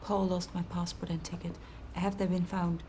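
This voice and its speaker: A woman's voice: on the low side, level tone, moderate pace, dark tone, slight background noise, natural voice. An actress playing a composed, defiant researcher being questioned in a naturalistic TV drama, her answers terse and cutting. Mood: guarded, terse.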